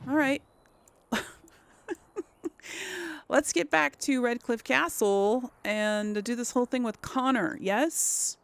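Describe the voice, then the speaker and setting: A woman's voice: a little high, takes it slowly, gravelly, long pauses, smooth. A woman giving casual game commentary to viewers, exclaiming and muttering her reactions as she plays. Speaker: let's play host. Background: game music.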